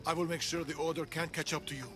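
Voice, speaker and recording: A man's voice: a little high, level tone, quick pace, natural voice. An actor playing a grave, protective older warrior and grandfather in a dramatic video game, intense and earnest. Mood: urgent. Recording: loud music behind the voice.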